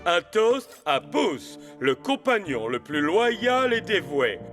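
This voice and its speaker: A man's voice: high voice, big pitch swings, takes it slowly, loud music behind the voice, heavily acted. A man playing a good-natured, loyal lord of a castle in a French-dubbed children's cartoon. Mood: warm.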